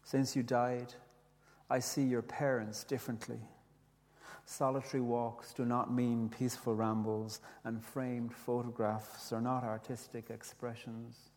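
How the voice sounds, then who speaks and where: A man with an Irish accent, whose first language is Irish, gently telling a reflective story with restarts and reading his own poetry. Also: mid-range voice, little pitch movement, measured pace, long reverb tail. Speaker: speaker, poet.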